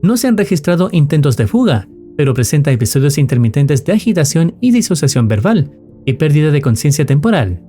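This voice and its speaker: A man's voice: fairly high pitch, big pitch swings, brisk, mellow, faint music behind. A man delivering formal, dramatic scripted narration that recaps an analog horror story.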